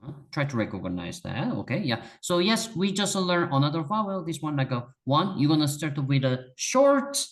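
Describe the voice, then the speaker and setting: A native Korean speaker teaching in English with a clear Korean accent. The voice rises and falls a lot as they explain. They are patient and encouraging, and they often say things twice for emphasis. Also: medium pitch, big pitch swings, moderate pace, hardly any pauses. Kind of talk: one-on-one language lesson.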